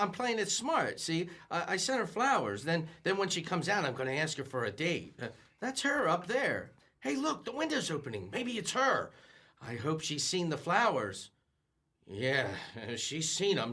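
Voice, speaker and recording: A man's voice: sits high, sing-song, normal speed, bright, forceful tone, heavily acted. An actor playing a bumbling, lovesick young man in an old-time radio comedy, stammering and flustered.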